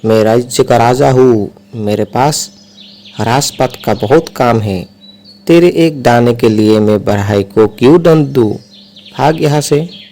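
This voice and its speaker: A man acts out a busy, dismissive male figure from a children's cartoon fable. The delivery is curt and declarative. The voice is mid-pitched with a dark, soft tone. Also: takes it slowly. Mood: dismissive, irritated. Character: dismissive, busy males: carpenter, king, talking fire. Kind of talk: children's cartoon story acting.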